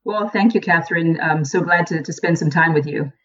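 A woman in her forties, originally from Ghana, answers interview questions in a thoughtful, unhurried way. Her voice sits very low for a woman, and she often restarts a word as she gathers her thoughts. The audio sounds dull and lo-fi, like a remote connection. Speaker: guest, professional speaker and coach.

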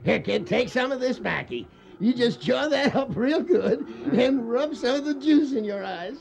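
An actor playing a teasing old cowhand in a Western radio drama, with a folksy, cackling drawl. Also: male voice, extremely high pitch, expressive, normal speed, vocal fry, full character voice. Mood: teasing amusement.

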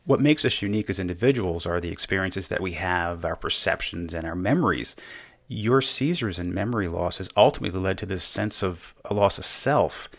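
A man hosting a comics interview show, reading a measured introduction and asking questions. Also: deep voice, creaky. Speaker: podcast host.

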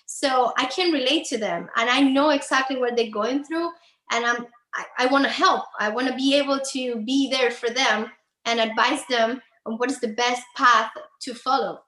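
A woman's voice: on the high side, moderate pace, sharp tone, reverberant, poor audio. A woman from Cuba, speaking English with a Cuban Spanish accent, an attorney talking earnestly and sometimes searching for words as an interview guest. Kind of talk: conversational interview.

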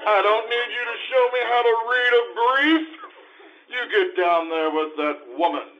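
A man's voice: high-pitched, natural voice. A man acting a cranky, befuddled, blustery judge in a comic radio play performed on stage. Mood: exasperated. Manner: blustery, drawn-out, exasperated delivery. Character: cranky, befuddled, easily flustered old courtroom judge. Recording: dull live stage audio, faint noise.

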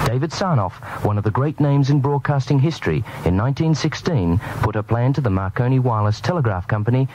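Man, documentary narrator, scripted history, lively pitch, hissy radio recording. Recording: noisy old radio broadcast.

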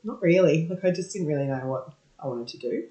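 A woman's voice: deep voice, lively intonation, a little fast, warm tone. An Australian woman in her thirties, a podcast guest, talking casually and laughing often. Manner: chatty, laughs often, many ums and yeahs. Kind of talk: casual interview talk. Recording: roomy echo.

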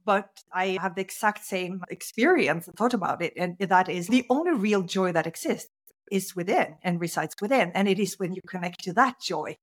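Animated woman telling her story. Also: medium pitch, normal speed. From Norway. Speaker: guest speaker. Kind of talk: conversational interview storytelling.

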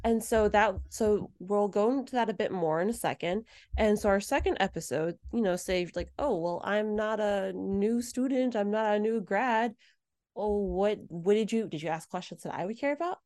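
A woman's voice: medium pitch, moderate pace, darkish tone. Woman cohosting a podcast, chatty, laughs a lot, casual talk. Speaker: podcast co-host.

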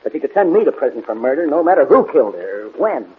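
Actor as anxious murder suspect, muffled old radio. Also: male voice, medium pitch, sing-song, fast talker, close to an everyday voice. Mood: pleading, insistent. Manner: earnest, insistent. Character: anxious, pleading male murder suspect, defensive heir. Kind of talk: 1940s radio drama acting. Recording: muffled, noisy 1940s radio recording.

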